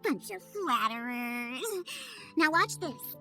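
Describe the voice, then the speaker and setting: A woman's voice: sits high, lively intonation, slow pace, music in the background, exaggerated voice. Huffy, proud young girl. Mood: smug. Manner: huffy, reluctant, self-important. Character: grumpy, proud young girl with a soft heart. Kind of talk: animated video game voice acting.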